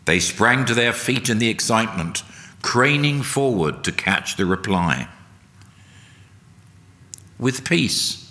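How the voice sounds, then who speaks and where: A man reading a solemn, dramatic narration in a deliberate, emphatic oratorical way. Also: lowish voice, lively intonation, sharp tone. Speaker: reader at a church service. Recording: long hall reverb.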